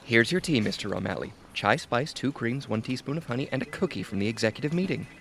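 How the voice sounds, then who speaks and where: A deferential, slyly curious assistant in a comedy radio play. His mid-pitched voice is eager and expressive, with some noise behind it. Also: fast, creaky. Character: deferential, attentive male office assistant, slyly nosy. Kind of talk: audio comedy drama acting.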